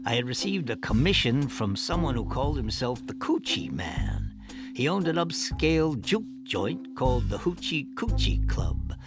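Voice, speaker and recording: An actor playing a droll adventurer who poses as a wacko scientist, fussy, wry and mock-formal, in an audio drama. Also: male voice, mid-range voice, sing-song, normal speed, over music. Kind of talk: audio drama narration and dialogue.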